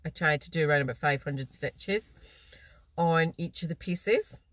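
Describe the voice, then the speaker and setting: A nasal voice with a bit of a stuffy nose, rambling easily through a casual catch-up. The talk wanders, with false starts and small laughs, at a middling pitch. It is recorded in a parked car, close and dry with no room echo. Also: a little slow, a bit bright. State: slightly blocked nose. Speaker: cross-stitch vlogger. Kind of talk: casual chat to camera.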